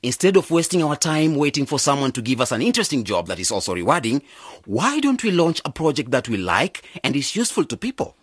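A man acting in a radio drama as an enthusiastic, persuasive young agronomist with a husky voice. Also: mid-range voice, sing-song, fast talker, no room sound, clean studio sound. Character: enthusiastic young male agronomist with big plans.

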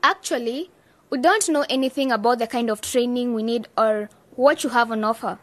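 A woman's voice: fairly high pitch, normal speed, no room sound, close to an everyday voice. A woman acting in a radio drama as a bold, eager young woman, informal and forthright. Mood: frank.

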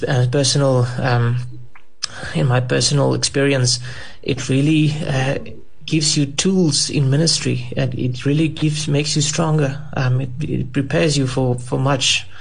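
A man in ministry speaking in a halting way, filling gaps with many ums and uhs. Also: medium pitch, vocal fry, slightly rough. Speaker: pastor. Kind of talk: panel discussion.

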